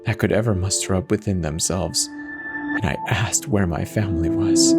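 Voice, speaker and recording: A man's voice: sits low, normal speed. A man reading a first-person horror story as a dramatic scripted narration. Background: music.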